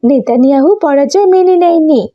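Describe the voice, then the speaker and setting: A woman voicing an eager, emphatic little refugee girl in a cartoon story, excited to share news. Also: high-pitched, fairly quick, lo-fi sound, lightly acted. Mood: excited, proud.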